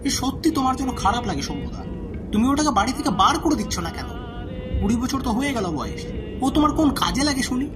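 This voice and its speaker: A woman playing a nagging, scornful, superstitious village neighbour in an animated story, insistent and scolding. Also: on the high side, fairly quick, music in the background. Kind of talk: acted dialogue in animated story.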